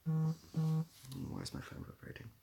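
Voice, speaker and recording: A man's voice: a little high, level tone, takes it slowly, vocal fry, dark tone, slight background noise. A young British man chatting casually on a livestream, rambling a little and dryly playful as he responds to viewers. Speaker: live streamer. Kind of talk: casual livestream chat, answering viewer comments.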